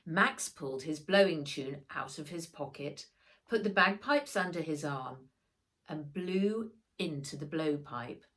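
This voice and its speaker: A woman reads a children's story aloud as its author. Her voice sits a little low and moves with very lively intonation. She takes her time, leaning on words with dramatic emphasis. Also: a little slow. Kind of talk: expressive children's storybook narration.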